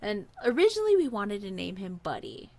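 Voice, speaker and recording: A woman's voice: medium pitch, a little fast, vocal fry. Casual, chatty woman telling a story. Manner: animated, acts out quoted dialogue. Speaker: podcast guest storyteller.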